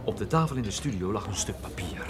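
A man acting as a cool private detective from a radio play. His voice sits on the low side, and his delivery is wry and deadpan, as if nothing could impress him. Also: fast, a loud crowd, close to an everyday voice. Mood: matter-of-fact. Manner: dry, deadpan. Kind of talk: radio drama acting with first-person narration.

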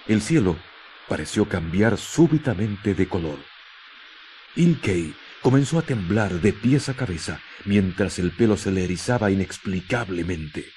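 A man narrating a radio drama in a measured, suspenseful manner, with deliberate pauses between short phrases. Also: on the low side, darkish tone, a little hiss or hum. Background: music.

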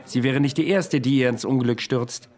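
An actor playing a wry, ancient immortal man recounting his past in a measured way, in a radio drama. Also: mid-range voice, darkish tone, lightly acted. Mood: reasoning.

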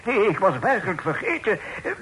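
An actor playing a flustered, stammering gentleman in a period radio drama. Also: high-pitched, very animated, a little fast, a little hiss or hum, poor audio. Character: flustered gentleman caught in a slip.

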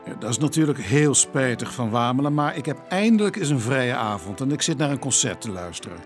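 A man playing a weary, brisk, dryly sardonic police inspector in a radio drama. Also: medium pitch, very animated, faint music behind, lightly acted. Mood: irritated, curt. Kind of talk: Dutch radio drama acting.

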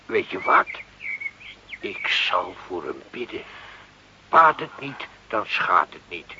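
A man's voice: low-pitched, big pitch swings, takes it slowly, pushed and bright, heavily acted. An actor playing a kindly, patient village priest in a radio drama, speaking in a hesitant, fatherly, pious manner. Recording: dull, lightly hissy old radio recording.

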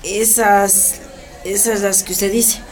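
A woman's voice: mid-range voice, flat delivery, slow, faint music behind, close to an everyday voice. A woman playing a bashful, hesitant young pupil in a radio drama. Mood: embarrassed.

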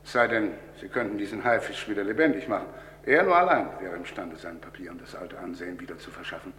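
A man playing a wary, shrewd, wealthy English gentleman in an old German radio crime drama, with deliberate, dramatic delivery. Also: deep voice, a little hiss or hum. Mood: indignant, dismissive. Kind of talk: German radio crime drama acting, mid-20th century.

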